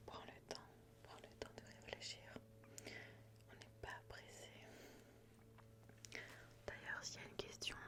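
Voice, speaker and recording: A woman speaking in a breathy, unvoiced whisper close to the microphone, gently asking questions in a soothing, repetitive ASMR style. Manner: slow, soothing, repeats words and phrases. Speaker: ASMR host. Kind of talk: ASMR personality-test roleplay, intimate questioning.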